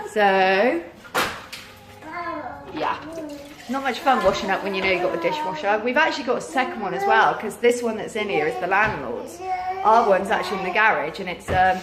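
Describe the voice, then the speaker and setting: A British woman in her thirties chatting casually and informally in a rambling way, tired and losing her voice with a sore throat. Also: medium pitch. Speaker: vlogger, mother. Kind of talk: casual chat to camera. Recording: roomy echo, clear background noise.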